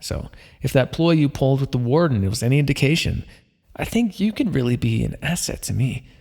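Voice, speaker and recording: Man playing a smug, husky supervillain in cavernous reverb. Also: mid-range voice, very animated, fairly quick, a little mellow. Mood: scheming, gleeful. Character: smug, playful male minor supervillain mind controller, hypnotic and gloating. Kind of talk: ASMR roleplay monologue spoken to the listener. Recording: long reverberant echo.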